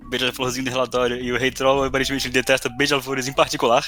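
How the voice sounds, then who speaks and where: A man's voice: mid-range voice, monotone, very fast, sharp tone, faint music behind. A Brazilian man chatting casually as a podcast co-host, teasing and wry in his commentary.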